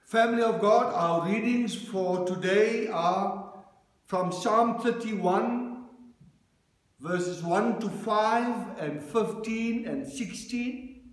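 A man leading a church service as minister, reading scripture and preaching in a measured cadence at a lectern. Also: high-pitched. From South Africa. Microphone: lectern microphone, far camera. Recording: very echoey church room.

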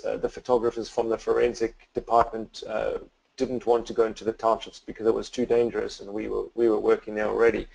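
A man's voice: on the low side, creaky, darkish tone, poor audio. A middle-aged South African man with a South African accent, speaking as a guest photographer on a webinar from his office, hesitant with many fillers and restarts. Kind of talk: webinar presentation. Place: home office.

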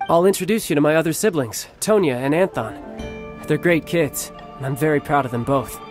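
A man voicing a young warrior, tired and worn out, who speaks calmly and softly as a fond big brother. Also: fairly high pitch, normal speed, close to an everyday voice. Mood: friendly. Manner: calm, soft-spoken. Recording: game audio over music.

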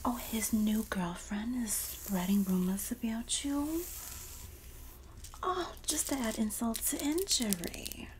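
A woman playing a sassy, supportive Jersey girl in an ASMR roleplay, speaking softly and often whispering in a breathy, doting, gossipy way with a New Jersey accent. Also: fairly high pitch, slight background noise, rough recording. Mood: gossipy, indignant. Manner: slow, gossipy, doting, dramatic emphasis.

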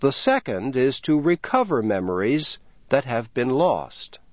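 A man reading scripted narration for English learners with careful, measured enunciation. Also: mid-range voice, sing-song, no echo. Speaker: reader of English learning material. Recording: dull, lo-fi compressed audio.

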